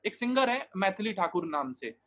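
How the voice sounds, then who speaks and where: A man's voice: sits high, big pitch swings, normal speed, a bit bright. A man being interviewed, talking earnestly and at length, mixing English phrases in, with frequent restarts and fillers. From Bihar, India. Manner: mixes English words and phrases into his speech. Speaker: interview guest, digital marketer. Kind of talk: casual interview talk.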